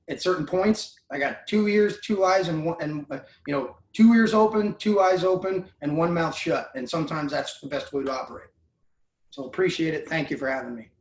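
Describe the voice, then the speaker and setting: A man in his forties from Southern California, a football coach, speaking in a thoughtful, rambling way. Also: fairly high pitch. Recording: echoey room, far from mic.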